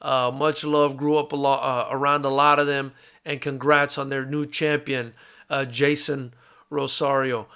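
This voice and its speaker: Bright-voiced American man talking fights. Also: medium pitch, level tone, a little slow, clean tone. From South Florida, United States. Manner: conversational, addresses listeners as brother and my man. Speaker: combat sports show host and fight promoter. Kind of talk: casual live fight commentary, answering viewer comments.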